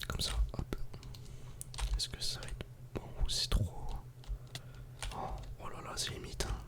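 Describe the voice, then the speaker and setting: A man whispers casual ASMR game commentary in a breathy, unvoiced voice, speaking directly to the viewer. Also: unhurried. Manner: casual, soft-spoken, addressing the viewer directly. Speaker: ASMR gaming video creator.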